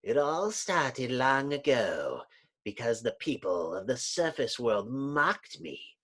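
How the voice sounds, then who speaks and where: A woman voicing a bitter, menacing male underground villain in a husky, grandiose, theatrical comic-book reading. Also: rumbling low, big pitch swings, slow pace, exaggerated voice. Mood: bitter, brooding.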